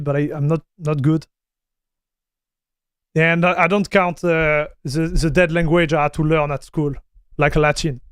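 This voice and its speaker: Male streamer, high voice, smooth and dry, casual chat. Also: dry recording. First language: French. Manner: chatty, laughs often, talks straight to chat. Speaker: streamer reacting to tech news.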